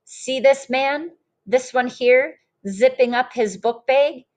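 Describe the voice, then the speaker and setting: A woman's voice: high voice, slow, voice pushed up. A woman voicing an admiring young child who narrates a picture book with wondering emphasis.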